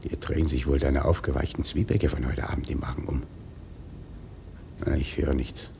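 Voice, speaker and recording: An actor playing a gruff, sleepy sailor in a radio play, grumbling and dismissive. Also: male voice, very deep voice, steady tone, moderate pace, a little mellow, a little hiss or hum, full character voice. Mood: grumpy, mocking. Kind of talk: German radio play acting.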